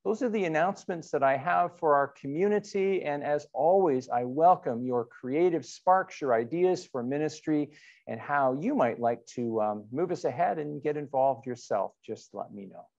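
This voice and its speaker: A middle-aged man, a pastor speaking conversationally and a little haltingly as he leads online church worship. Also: fairly high pitch, gravelly, darkish tone, lo-fi sound. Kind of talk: informal church announcements and worship leading.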